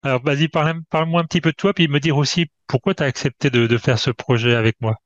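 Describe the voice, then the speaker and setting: A man hosting a conversational interview over a video call, speaking haltingly, with many hesitations and restarts. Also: medium pitch, fairly even intonation. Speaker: host. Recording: dull, dry video-call audio.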